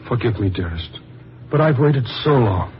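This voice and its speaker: An actor playing a possessive, lovesick suitor in an old radio drama, urgent and imploring. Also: male voice, mid-range voice, lively intonation, moderate pace, close to an everyday voice. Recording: muffled, noisy old radio recording.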